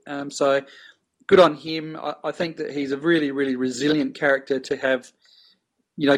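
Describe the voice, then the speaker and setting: A man with a mid-range voice talks football earnestly, with warm approval for the players. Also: medium pitch. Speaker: podcast panelist. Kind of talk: casual chat about football.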